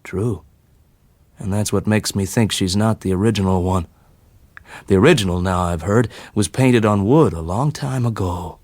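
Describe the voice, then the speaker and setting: A man voicing a rough, folksy fellow, opinionated and bitter but kindly, in colloquial rustic speech. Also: lowish voice, moderate pace, a little mellow, no echo, natural voice. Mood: knowing, grumbling.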